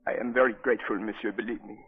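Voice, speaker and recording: Earnest, dignified resistance fighter. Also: male voice, on the low side, steady tone, slightly rough. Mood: polite, grave. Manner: formal, measured, solemn. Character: earnest, dignified French resistance man, humble yet resolute. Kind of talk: 1940s radio acting. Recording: tinny, phone-like old radio broadcast, music.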